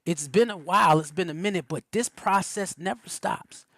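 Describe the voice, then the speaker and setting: Guy preaching on a livestream, kinda high voice, lots of uhs and restarts, dry close mic. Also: moderate pace, pushed and bright, dry recording. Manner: loose and conversational, with many fillers and restarts. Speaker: reverend teaching a livestream. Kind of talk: informal spiritual teaching on a livestream.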